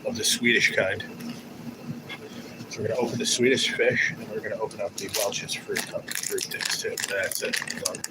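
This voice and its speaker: A man's voice: on the low side, fairly even intonation, normal speed, very noisy. A man hosting a casual livestream, chatting in a rambling, teasing way as he reads viewer comments. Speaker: livestream host.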